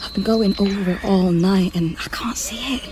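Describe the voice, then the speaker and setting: A woman's voice: mid-range voice, moderate pace, a little mellow, a little hiss or hum, lightly acted. Woman acting an intense, determined undercover policewoman. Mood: earnest, urgent. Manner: intense, insistent, emphatic. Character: determined, frustrated undercover policewoman posing as nanny. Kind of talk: naturalistic modern radio drama acting.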